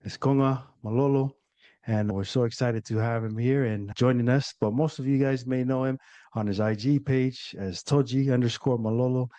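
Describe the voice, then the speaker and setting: A man hosting a podcast interview, chatting casually with lots of fillers in a relaxed, laid-back way. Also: medium pitch, moderate pace, dry recording. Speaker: podcast host.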